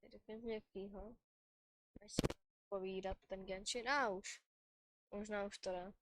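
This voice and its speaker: A woman's voice: mid-range voice, steady tone, moderate pace, very noisy. A woman game streamer chatting informally and colloquially on a live stream. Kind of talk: casual live-stream chat.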